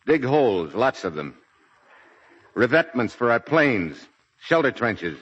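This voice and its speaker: A man's voice: medium pitch, very animated, takes it slowly, fairly bright tone, slightly rough, exaggerated voice. A man acting as a stoic, fatherly military commander in 1940s radio drama, grave, terse and commanding. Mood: firm, dry. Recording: muffled 1940s radio broadcast recording.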